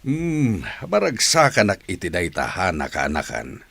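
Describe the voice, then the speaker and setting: A man's voice: sits low, wildly swooping pitch, unhurried, vocal fry, a bit bright, breathy edge, no echo, exaggerated voice. A man acting a middle-aged, caring father and uncle in an audio drama. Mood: warm greeting. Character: caring family father, an uncle, worried but forgiving.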